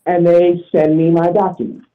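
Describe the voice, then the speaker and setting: Blunt man with a higher-pitched voice, muffled sound. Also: level tone, normal speed. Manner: blunt, insistent, profane. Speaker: talk show participant. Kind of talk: casual opinionated discussion.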